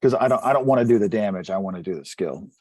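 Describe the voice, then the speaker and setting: A man's voice: on the low side, lively intonation, fast, a little mellow, slightly rough, no room sound, clean studio sound. A man chatting casually as a player in a tabletop role-playing game.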